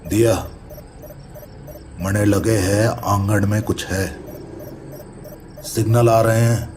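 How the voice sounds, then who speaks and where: A man playing a serious, methodical paranormal investigator in an audio horror drama, speaking deliberate rustic Haryanvi-Rajasthani Hindi. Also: lowish voice, steady tone, darkish tone, a little hiss or hum. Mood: alert, tense. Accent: Haryanvi-Rajasthani rural Hindi. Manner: deliberate, instructive. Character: methodical, serious male paranormal investigator, neighbourhood elder brother.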